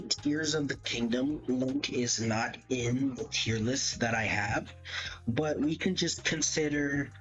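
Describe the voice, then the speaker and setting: A man's voice: medium pitch, a bit bright. A man giving casual livestream commentary, thinking aloud hesitantly and often correcting himself as he goes. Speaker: livestream host. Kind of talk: casual livestream commentary, ranking game characters. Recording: dull lo-fi mic, music behind. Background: background music.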